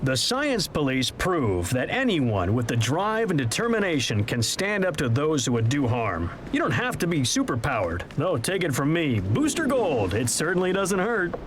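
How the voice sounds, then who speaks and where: Video game superhero guide: pompous, salesy, fairly high voice, very animated, self-promoting. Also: male voice, moderate pace, hardly any pauses, background noise. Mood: self-important. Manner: pompous showman, salesman-like, with awkward asides. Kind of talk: scripted in-game guided tour narration. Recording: loud music behind.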